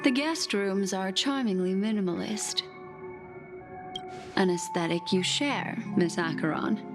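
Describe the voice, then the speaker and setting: A woman playing a poised, knowing seer of memories in a game, with a theatrical, teasing, deliberate delivery. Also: medium pitch. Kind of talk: scripted game dialogue. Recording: voice under clear background music.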